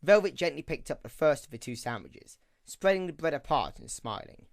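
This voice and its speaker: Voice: mid-range voice, animated, moderate pace, vocal fry, dry recording, clean studio sound. A narrator reading scripted third-person story narration for an amateur audio drama.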